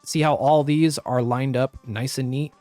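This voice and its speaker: A man with a slightly raspy voice, recovering from the flu, giving a step-by-step software tutorial as a voice-over. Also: mid-range voice, steady tone, unhurried, no room sound, faint music behind. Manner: step-by-step instructive, plain and practical. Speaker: tutorial host. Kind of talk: screen-recorded software tutorial.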